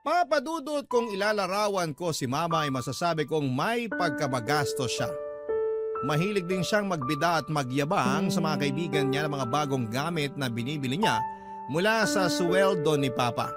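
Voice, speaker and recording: A man's voice: on the high side, expressive, normal speed. A man narrating a scripted personal story as a radio drama storyteller. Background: prominent background music.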